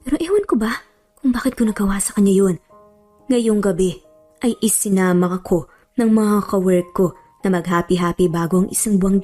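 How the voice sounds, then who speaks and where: A brooding, earnest male doctor in love as a woman storyteller plays him muses about his feelings in the first person. The delivery is mellow, with faint music beneath the romance narration. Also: mid-range voice, close to an everyday voice. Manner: reflective first-person musing.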